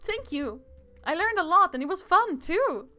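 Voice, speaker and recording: Woman doing a young video game princess, high-pitched, animated, brash, cheeky, plucky. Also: normal speed, soft background music, full character voice. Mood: cheerful, grateful.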